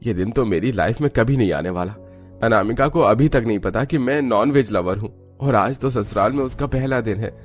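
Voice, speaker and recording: A man's voice: medium pitch, moderate pace, faint music behind, natural voice. A man playing a nervous, sheepish young husband in a cartoon, with an anxious, pleading manner. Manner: stammers when flustered, pleading.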